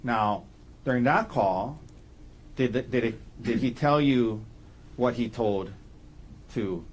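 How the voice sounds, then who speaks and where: A man speaking as an attorney in courtroom questioning, putting halting, leading questions and checking each with a short tag. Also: mid-range voice. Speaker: defense attorney questioning a witness.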